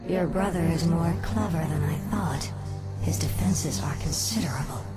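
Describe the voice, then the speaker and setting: A woman's voice: low voice, normal speed, natural voice. An actress playing a vengeful, cunning undead elf queen in a video game, controlled and imperious. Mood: calculating. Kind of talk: video game cutscene acting. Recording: game audio under loud music.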